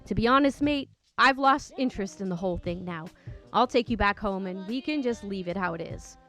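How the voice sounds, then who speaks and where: A woman's voice: mid-range voice, lively intonation, a little fast, a bit bright, faint music behind, lightly acted. A woman reading the part of a blunt, exasperated man driving his date in an online story. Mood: fed up.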